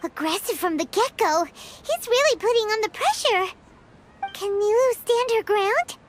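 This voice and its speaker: A woman voicing a tiny, excitable female companion in a video game, with a squeaky, childlike voice. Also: measured pace, slight background noise. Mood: anxious suspense.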